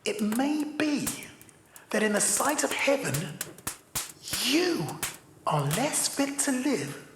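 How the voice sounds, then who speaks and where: A woman's voice: sits high, big pitch swings, roomy sound, noisy background, full character voice. A woman playing a solemn Christmas spirit who foretells a child's fate and rebukes a miser. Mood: stern. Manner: slow, grave, admonishing. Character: solemn grave spirit of Christmas, stern and prophetic.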